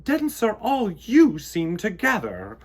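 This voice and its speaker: A man's voice: sits high, wildly swooping pitch, slow, pitched above the performer's own voice, heavily acted. A man voicing an imperious, fussy queen bee for a storybook, declamatory and scolding.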